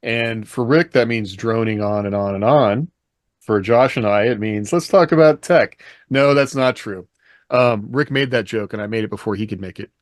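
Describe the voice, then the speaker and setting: A man's voice: lowish voice, normal speed, no room sound, clean studio sound. A man co-hosting a podcast, chatting casually, joking and steering the talk with questions. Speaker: podcast co-host and author. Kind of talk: casual panel discussion.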